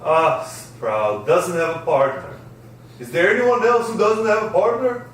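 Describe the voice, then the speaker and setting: A man's voice: high-pitched, a little slow, fairly bright tone, slight background noise. A man reading the part of a strict science teacher at a script table read, in an echoey room with a distant mic. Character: strict male science teacher addressing his class.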